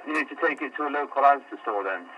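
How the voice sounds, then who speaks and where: A man's voice: medium pitch, fast talker, lo-fi sound, noisy background. A man working store customer service, answering a call patiently but growing exasperated, stumbling and repeating himself. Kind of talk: phone call.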